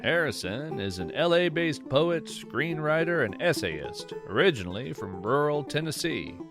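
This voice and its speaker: A man reading scripted podcast credits as host, in a measured and articulate way. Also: fairly high pitch, sing-song, over music.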